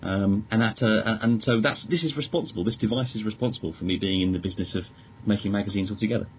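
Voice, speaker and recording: Low-voiced man, podcast guest. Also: fast talker. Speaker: podcast guest, magazine editor. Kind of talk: polite casual interview chat. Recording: dull lo-fi recording, background noise.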